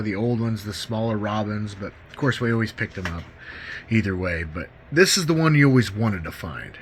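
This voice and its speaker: A man's voice: on the low side, a bit bright, slight background noise. A middle-aged man casually demonstrating gear as a hobbyist video host, in a folksy, enthusiastic and informal manner.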